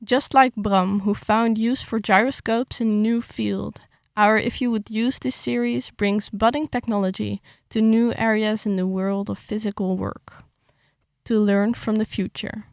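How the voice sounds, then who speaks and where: Young woman, writer reading her article. Gravelly edge, middle pitch. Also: moderate pace, no room sound, clean studio sound. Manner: hesitant with fillers when chatting, steady when reading. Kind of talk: casual chat, then reading an article aloud.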